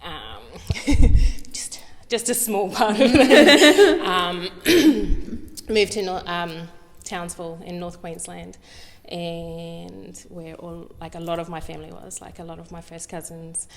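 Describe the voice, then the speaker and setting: An Australian woman, a guest artist, talking candidly in an interview, with many pauses, fillers and restarts. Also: lowish voice, creaky, long reverb tail. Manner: hesitant, lots of fillers and restarts.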